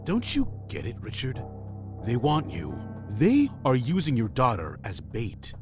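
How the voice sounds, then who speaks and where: An actor playing a grave, mysterious ex-criminal informant and desperate father in an adventure game, speaking cryptically and urgently, with phrases that drop toward a hush. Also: medium pitch, wildly swooping pitch, a little slow. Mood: pleading, urgent. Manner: cryptic, urgent, dramatic delivery with hushed line endings. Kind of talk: dramatic point-and-click adventure game voice acting. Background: music.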